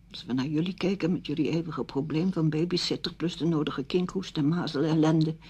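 Husky, bitter middle-aged widow. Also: female voice, fairly low pitch, darkish tone. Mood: wistful sorrow. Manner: sardonic, weary. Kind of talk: Dutch radio play acting.

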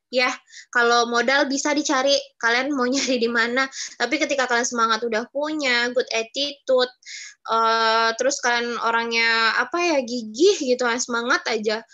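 A woman's voice: fairly high pitch, moderate pace, sharp tone, muffled recording. A woman in her twenties teaching students in a casual, chatty way over a video call. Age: 20s. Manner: chatty, addresses students as younger siblings. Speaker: webinar speaker.

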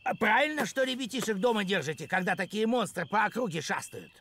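A man voicing a fussy, cowardly village postman in a cartoon, with an excitable, officious manner and creaky voice. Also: sits high, quick pace, a little hiss or hum, heavily acted. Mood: nervous excuses. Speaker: voice actor. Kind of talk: animated cartoon acting.

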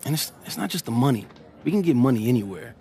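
Young male athlete for a sports game: medium pitch, ambitious, earnest, persuasive, streetwise casual phrasing. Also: very animated, fast, mellow, a little hiss or hum. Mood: serious, reflective. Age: young adult. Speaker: basketball player. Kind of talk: naturalistic video game cutscene acting.

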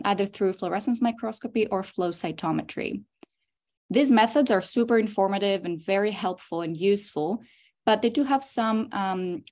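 A young woman from Greece presents her lab research over a video call. She speaks at a mid pitch, steady and explanatory, with the odd um between points. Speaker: graduate student presenter. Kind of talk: scientific webinar talk. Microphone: computer mic on a video call.

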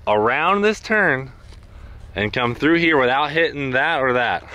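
A man in his thirties chatting casually and excitedly in slang, as a car vlog host. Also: medium pitch, measured pace. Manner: slangy, excited bro talk. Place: in a car and outdoors at a racetrack. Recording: loud car and outdoor noise.

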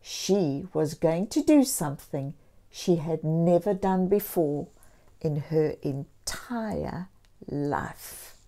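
A woman storyteller reading a picture book aloud to young children, expressively and deliberately, with encouraging asides. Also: deep voice, animated, dark tone. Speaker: children's storyteller and channel host.

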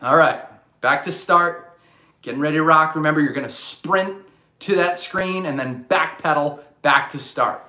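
A man in his thirties coaching a live online gym class for kids from his living room, calling out energetic commands and countdowns. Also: a little high, moderate pace. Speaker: fitness coach. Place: bright living room, indoors. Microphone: camera across the room. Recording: echoey room.

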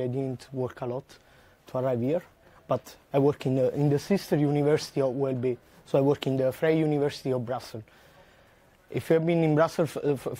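A man's voice: fairly high pitch, dark tone. Man giving a tech talk. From southern Italy. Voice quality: frequent "uh" fillers and restarts, earnest. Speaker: conference speaker, researcher. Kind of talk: technical conference talk. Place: large lecture hall.

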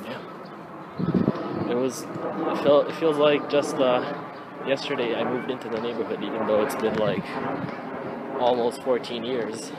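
A man's voice: medium pitch, fairly even intonation, unhurried, pauses often. A man in his twenties rambling casually and slangily to his phone at arm's length outdoors. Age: 20s. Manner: slangy, swearing. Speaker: vlogger. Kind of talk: casual rambling vlog chat. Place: outdoors on residential streets. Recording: noisy handheld phone recording.

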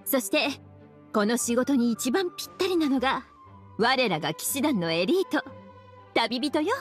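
A woman's voice: sits high, sing-song, moderate pace, faint music behind. Sultry teasing witch librarian. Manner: languid, teasing, calls herself big sister. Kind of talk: Japanese game dub.

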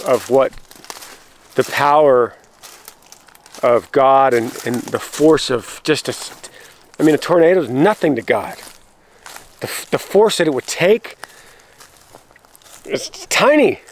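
Excitable man filming in the woods. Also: medium pitch, slow, long pauses, slight background noise. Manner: excitable, breaks off and restarts, addresses viewers as guys. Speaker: vlogger showing storm damage. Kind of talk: excited walking vlog to camera. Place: outdoors in rural woods. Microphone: handheld camera while walking.

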